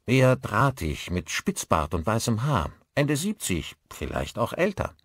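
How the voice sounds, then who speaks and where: Man reading as a polite, inquiring man, animated. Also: fairly low pitch, unhurried, slightly rough, no echo, clean studio sound, voice pushed up, full character voice. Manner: courteous, inquiring. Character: polite man asking around, earnest.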